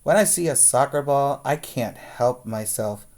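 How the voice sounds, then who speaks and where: A man's voice: medium pitch, animated, unhurried, a little mellow. A man reading a friendly young athlete. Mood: playful. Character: friendly young soccer star.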